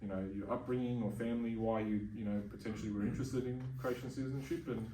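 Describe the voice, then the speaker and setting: A man interviewing a guest, relaxed and rambling, with lots of fillers. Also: mid-range voice, quick pace, roomy sound, distant mic, a little hiss or hum, rough recording. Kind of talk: casual interview chat.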